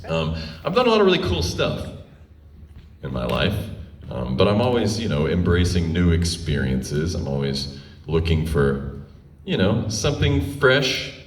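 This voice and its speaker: Low-voiced man telling comic stories onstage. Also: wildly swooping pitch, unhurried. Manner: wry, self-deprecating, often laughing as talking. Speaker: touring performer telling stories on stage. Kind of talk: comic stage storytelling to a live audience. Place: live stage show before an audience. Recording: roomy live stage, distant mic.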